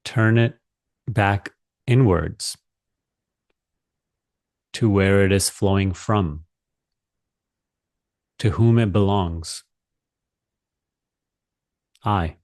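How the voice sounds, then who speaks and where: A man leading a guided meditation as a teacher, speaking calmly and deliberately with long pauses. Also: on the low side, no room sound, clean studio sound.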